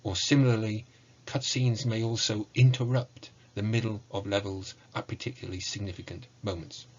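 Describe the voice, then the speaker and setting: A middle-aged man delivers an online lecture. His voice is lowish and husky, his delivery measured and explanatory. The recording is a little muffled. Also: fairly even intonation. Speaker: university lecturer in music. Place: small indoor room with bookshelves.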